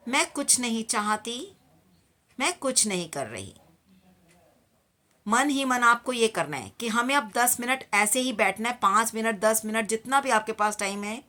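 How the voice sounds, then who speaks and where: Slow, bright-voiced woman guiding meditation. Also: a little high. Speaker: meditation teacher. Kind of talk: guided meditation instruction.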